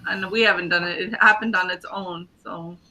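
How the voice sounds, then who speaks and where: A man's voice: sits high, fairly even intonation, normal speed, a crowd in the background, poor audio. A man talking casually in a group conversation. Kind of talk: casual chat.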